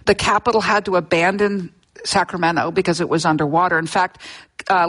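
An older woman from Southern California speaks as an interview guest, her voice sitting somewhat low. She tells history in a plain, explanatory way, often restarting words mid-phrase. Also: a bit bright. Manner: explanatory, with frequent restarts and fillers. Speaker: seismologist guest discussing her book. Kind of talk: relaxed interview storytelling.

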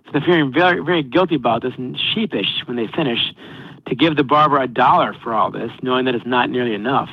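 A man's voice: mid-range voice, fast, breathy edge, no room sound. A man presenting a history story in a conversational way to a group, as a guest speaker. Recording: dull lo-fi online call audio.

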